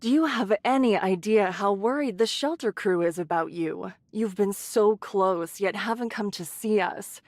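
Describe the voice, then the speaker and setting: A woman voicing a caring, brisk shelter leader who scolds in a motherly, teasing way, in an English anime game. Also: mid-range voice, a little mellow, dry recording, natural voice. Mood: teasing, scolding.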